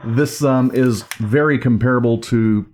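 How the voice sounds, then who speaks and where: A man with a mid-pitched voice walks through a product in a casual hands-on review. His delivery is informal and off the cuff, like someone showing a gadget to viewers. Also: unhurried, darkish tone. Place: indoors at home. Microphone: camera or recorder microphone.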